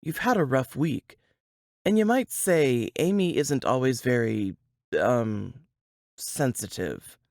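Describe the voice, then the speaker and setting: A woman reading a storybook, voicing a gruff, grim but protective young man with a terse, sighing delivery. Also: medium pitch, lively intonation, slow, dry recording, studio quality. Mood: weary, regretful.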